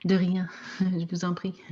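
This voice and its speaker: A woman teaching French as a second language, talking casually with slow, hesitant speech and frequent restarts. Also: fairly low pitch, monotone, warm tone, husky, dull audio. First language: not French. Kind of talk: casual discussion in a video meeting.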